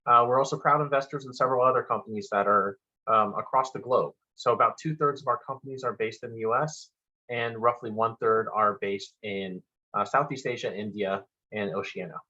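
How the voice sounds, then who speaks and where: A man introduces himself as an investor in an upbeat, polished way. His voice is clear and bright, with average pitch. The sound comes through a dull, muffled call line. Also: steady tone, fairly quick. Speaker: venture capital investor, guest. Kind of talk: casual webinar introduction. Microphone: video call.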